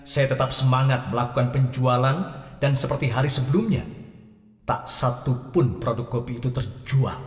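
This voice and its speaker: A man's voice: mid-range voice, reverberant, in a large hall, recorded from a distance. A man narrating his own story in the first person, earnest and speaking straight to his listeners in a scripted audio drama. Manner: earnest, addressing listeners directly.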